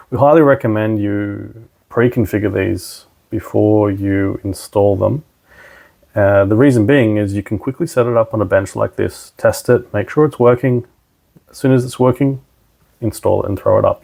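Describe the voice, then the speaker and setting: Low, creaky-voiced man giving a tutorial. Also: darkish tone. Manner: informal, step-by-step instructing. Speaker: product trainer, presenter. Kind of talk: casual hands-on tutorial narration.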